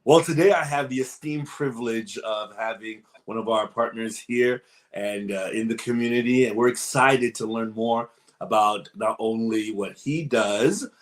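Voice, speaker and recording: A man moderating an interview series, upbeat and effusive as he welcomes viewers and introduces his guest. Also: fairly low pitch. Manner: upbeat, effusive, repeats "excited". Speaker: host, moderator.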